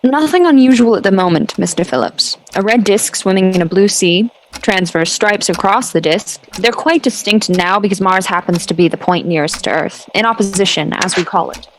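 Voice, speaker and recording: A woman's voice: mid-range voice, normal speed, a little hiss or hum, lightly acted, poor audio. A woman playing a dignified, skeptical astronomy professor in a 1930s-style radio drama, formal, precise and dismissive. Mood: assured, dismissive.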